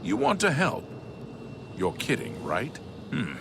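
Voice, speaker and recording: An actor playing a weary but determined city guard captain in a fantasy video game, commanding and exasperated. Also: male voice, on the high side, wildly swooping pitch, slow pace, gravelly, background noise, heavily acted. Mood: grim resolve.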